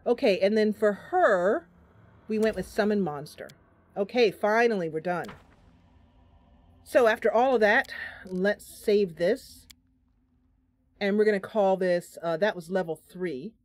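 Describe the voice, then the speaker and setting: A woman's voice: mid-range voice, unhurried, pauses often, a little mellow. A woman hosting a game stream, giving casual live commentary and thinking aloud through her choices as she chats to viewers. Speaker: game streamer, host of the channel.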